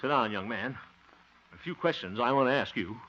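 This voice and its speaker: An actor playing a flustered, blustering middle-aged man in a 1950s radio comedy, excitable and easily exasperated. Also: mid-range voice, brisk. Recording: noisy, dull restored 1950 radio recording.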